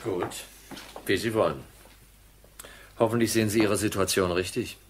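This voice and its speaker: A hard-nosed detective from a crime radio drama. His voice sits very deep with a husky grain. He is dry and keeps pressing. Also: fairly even intonation, moderate pace. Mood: politely pressing. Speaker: narrator and lead actor. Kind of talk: German crime radio play acting.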